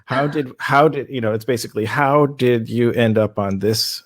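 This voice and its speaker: A man hosting a casual interview, speaking haltingly, repeating words and circling his way toward each question. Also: medium pitch. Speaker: interview host.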